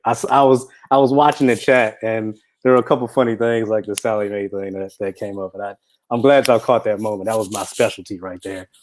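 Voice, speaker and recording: A man with a fairly low voice hosts a live film discussion. He talks informally and haltingly, often searching for the right words as he makes his introductions. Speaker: film director and event host.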